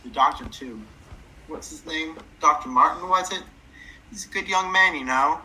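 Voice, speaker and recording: A kindly, ailing old neighbour played by a woman in a radio drama. Her voice is deep for a woman and bright, with a chatty, motherly, folksy manner. There is faint noise behind her. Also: moderate pace, rough recording. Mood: tender, chatty. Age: older. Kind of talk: radio play acting.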